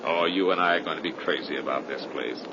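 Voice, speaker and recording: An actor playing a brash, sceptical young man, brisk and slangy, in a 1930s radio horror drama. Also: extremely low pitch. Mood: cheery, impatient. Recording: noisy, dull 1930s radio recording.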